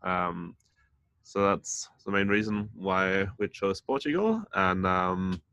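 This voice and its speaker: A man in his thirties from France and Denmark, a community founder talking casually as a podcast guest, with many pauses and fillers. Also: low voice, lively intonation, sharp tone, clean tone, dry recording, muffled recording. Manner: hesitant, many fillers and restarts. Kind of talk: casual interview chat.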